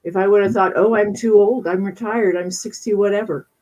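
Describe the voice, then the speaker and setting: A woman's voice: mid-range voice, steady tone, fairly quick, dark tone, poor audio. A woman in her sixties, co-hosting and chatting in an upbeat, conversational way. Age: 60s. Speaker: co-host. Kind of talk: casual chat.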